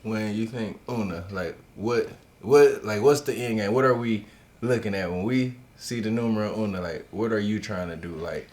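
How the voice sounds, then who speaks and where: Deep-voiced young man, loose and profane. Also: moderate pace, darkish tone. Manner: loose, profane, slangy talk. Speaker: host. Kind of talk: casual interview chat.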